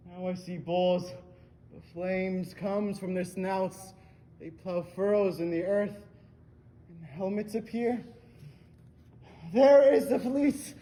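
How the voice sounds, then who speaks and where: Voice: mid-range voice, mellow, a little hiss or hum, natural voice. An actor playing a grave, resolute seer among ancient sailors, declaiming solemnly in a stage play. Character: Idmon, grave prophetic Argonaut seer, resolute. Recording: school stage play, music faintly behind.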